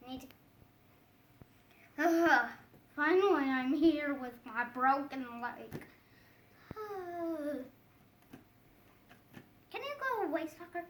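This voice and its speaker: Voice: sits high, animated, slow pace, long pauses, a bit bright. A child improvising a puppet show, theatrically acting out the characters with exclamations. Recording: echoey room with some background noise.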